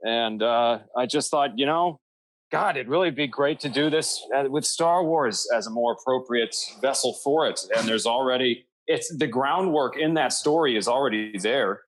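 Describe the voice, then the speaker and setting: A man's voice: medium pitch, normal speed, no echo, low-quality recording. Podcast guest, man, mid-range voice, dry close sound, casual and meandering delivery. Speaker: podcast guest, audio drama creator.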